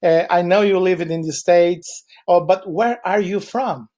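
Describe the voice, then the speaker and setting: A man's voice: high voice, fairly even intonation, a little slow, mellow. A man from Chile with a Brazilian Portuguese accent, Portuguese his first language, giving a webinar presentation in a meandering way with frequent fillers.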